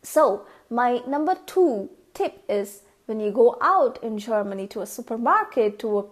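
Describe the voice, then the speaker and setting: A woman's voice: a little high, big pitch swings, normal speed. A young woman from India with an Indian English accent, whose first language is Tamil, giving casual tips in a vlog and stopping often to act out how words sound. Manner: chatty, repeats words, acts out pronunciations. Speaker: vlogger.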